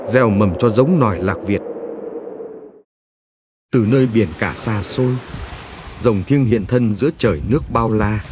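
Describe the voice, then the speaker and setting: A man reading a scripted legend as a storyteller, in a solemn, measured manner. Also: lowish voice, background noise. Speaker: narrator.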